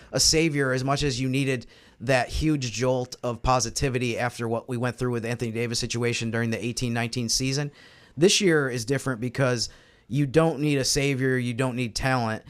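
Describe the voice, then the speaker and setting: A man giving casual sports analysis as a podcast co-host on a panel. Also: medium pitch.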